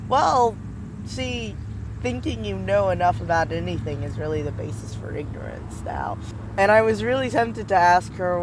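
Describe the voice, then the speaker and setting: A young American man chatting casually and sarcastically, recounting arguments and mockingly quoting other people. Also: high voice. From United States. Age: young adult. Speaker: vlogger. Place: outdoors by a fence near a drive-through. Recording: outdoor street noise behind.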